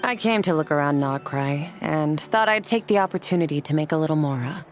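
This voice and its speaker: Actress playing stoic female warrior mentor, deep for a woman, aloof, solemn, terse, video game dialogue, background music. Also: a little fast, lightly acted. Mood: cool, businesslike. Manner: terse, solemn, matter-of-fact. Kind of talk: video game character acting.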